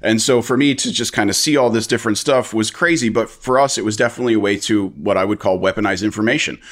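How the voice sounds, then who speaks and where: A man's voice: lowish voice, fast talker, fairly bright tone. An American man, a veteran and interview guest, giving candid, blunt and passionate answers with frequent restarts. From United States.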